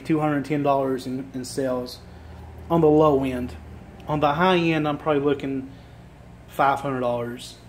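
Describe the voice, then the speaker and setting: A man with a Virginia accent, losing his voice and clearing his throat, chatting casually to a phone camera indoors as he shows off thrift finds. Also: a little high, a little mellow, slight background noise. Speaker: thrift reseller vlogger. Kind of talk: casual show-and-tell vlog. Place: indoor room with items on a table. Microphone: phone camera held while filming.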